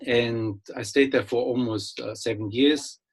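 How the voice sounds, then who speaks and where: A man's voice: medium pitch, fairly even intonation, unhurried, a crowd in the background. A middle-aged man from Germany with a German accent, giving a friendly, hesitant webinar talk as a business lecturer over a video call. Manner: hesitant, many fillers and restarts, friendly. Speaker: guest speaker, business lecturer. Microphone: computer mic on a video call.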